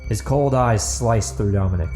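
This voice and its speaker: A man with a mellow, relaxed voice hosts a fiction podcast. He moves between dramatic audiobook narration and chatty, enthusiastic talk to listeners, with music playing softly behind him. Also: on the low side, normal speed. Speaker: podcast host and author reading his own novel.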